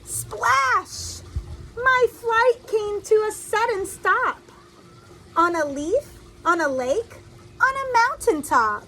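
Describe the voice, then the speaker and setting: Female storyteller for children, lively swooping intonation, unhurried teacherly delivery, light noisy ambience. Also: very high-pitched. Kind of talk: expressive read-aloud storytelling for children.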